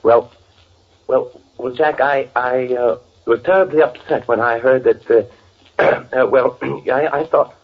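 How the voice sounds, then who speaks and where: An actor playing a timid, fussy, evasive twin brother with a nervous stammer in an old-time radio drama. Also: male voice, medium pitch, steady tone, slow, phone-quality sound. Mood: evasive, anxious. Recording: band-limited old radio drama recording.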